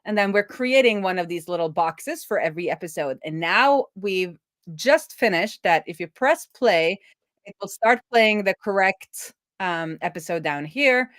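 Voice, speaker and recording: A woman's voice: medium pitch, expressive, a little slow, a bit bright, no room sound, studio quality. A middle-aged woman chatting casually on a coding livestream as its host, thinking aloud in an informal way. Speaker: live coding streamer and host.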